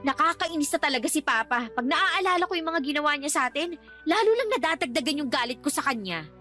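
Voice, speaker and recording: An actress with a Filipino accent playing a resentful, outspoken grown daughter in a radio drama, exasperated and complaining. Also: female voice, sits high, lively intonation, fast, sharp tone, faint music behind.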